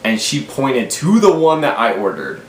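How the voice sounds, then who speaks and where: A man's voice: medium pitch, wildly swooping pitch, moderate pace. Young American man, roomy sound. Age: 20s. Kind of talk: casual storytelling to camera. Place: small room at home, indoors. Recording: roomy echo, faint background noise.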